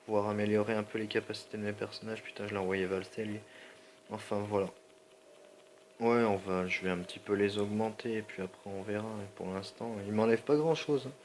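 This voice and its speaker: A man's voice: lowish voice, steady tone, a little fast, a little hiss or hum. A man with a slightly sore throat and a stuffy nose, rambling in slangy, apologetic commentary over his gameplay. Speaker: gaming channel host.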